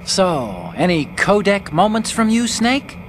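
An actor playing an earnest, nerdy young American hacker sidekick in a video game, chatty and explanatory. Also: male voice, high-pitched, big pitch swings, slow pace, heavily acted. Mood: approving. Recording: loud background noise.

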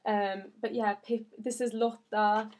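A woman's voice: medium pitch, level tone, measured pace, darkish tone. A young woman from Iran who grew up in Sweden, answering viewer questions in a chatty, earnest vlog from her office. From Iran, raised in Sweden. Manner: chatty and earnest, many fillers. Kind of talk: casual chat.

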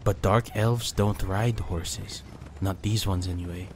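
Man playing a composed, low-voiced ninja. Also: steady tone, a little hiss or hum. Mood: resolute. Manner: measured, serious. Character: composed, guarded male ninja warrior. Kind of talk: audiobook character dialogue.

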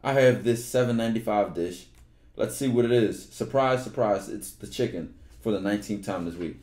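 Young male streamer, low-ish voice, reads aloud casually, slangy asides, echoey room. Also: moderate pace. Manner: relaxed, slangy, loud outbursts when joking. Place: bedroom streaming setup. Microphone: headset.

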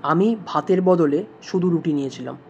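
Bengali-accented narrator reading a travel story, calm, soft music underneath. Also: medium pitch, brisk, muffled recording. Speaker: voice-over narrator.

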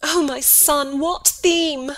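A woman's voice: sits high, steady tone, slow, a bit bright. A woman playing a sorrowful, anxious queen and mother in a theatrical Shakespeare audiobook reading. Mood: alarmed.

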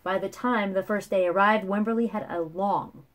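A woman in her thirties reading a picture book aloud to children with expressive, dramatic phrasing. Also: mid-range voice, moderate pace. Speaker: children's story reader. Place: small room at home, indoors.

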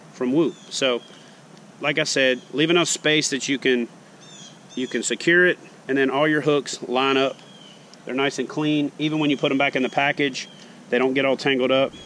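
A man in his forties, a fishing show host, casually demonstrating tackle tips in a backyard, speaking in a relaxed, folksy way. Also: mid-range voice, slight background noise. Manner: relaxed, folksy explaining, plain talk. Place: backyard lawn outdoors. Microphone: camera mounted close on chest.

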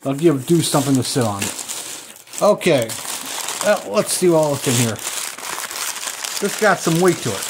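A man's voice: a little high, expressive, a little slow, pauses often, noisy background. A middle-aged man chatting casually as he unboxes old toy cars at a table at home, thinking aloud as he handles each one. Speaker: toy car collector unboxing.

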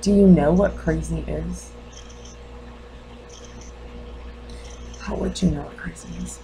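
A woman performs a grieving, defensive mother in a dramatic monologue. Her voice sits a little low, and she speaks in an intense, halting way. The recording carries faint noise. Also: gravelly. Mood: guarded, challenging.

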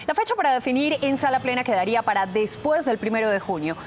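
A woman's voice: on the high side, lively intonation, a little hiss or hum. Colombian woman anchor reading news. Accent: Colombian Spanish. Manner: measured formal delivery. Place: TV news studio.

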